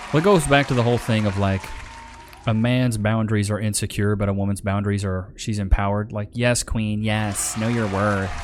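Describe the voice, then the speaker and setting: Guy streamer w/ lowish voice, slangy emphatic casual commentary, bit of music behind. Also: fairly even intonation, moderate pace, a faint crowd. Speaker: online video host reacting to clips.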